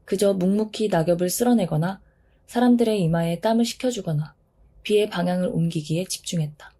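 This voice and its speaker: A woman narrating a children's storybook in a calm, measured reading. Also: on the low side, slightly rough.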